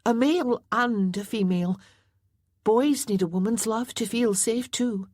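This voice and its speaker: A woman voicing a tender young mother who speaks softly and earnestly, sometimes in a whisper. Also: on the high side, measured pace, no echo, studio quality, voice pushed up. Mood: firm. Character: tender young Scottish mother, loving but firm.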